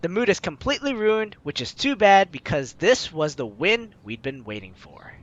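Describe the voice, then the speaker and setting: Male let's-play host, high and lively, playful running commentary. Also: normal speed, highs cut off. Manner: lively, laughs, breaks off to comment. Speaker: let's play host reading a visual novel. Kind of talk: playthrough reading with commentary.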